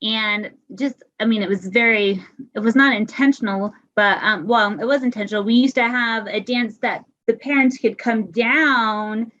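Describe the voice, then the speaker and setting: A woman teacher telling a lively story on a video call, often correcting herself as she goes. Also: a little high, moderate pace. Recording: dull lo-fi video call audio.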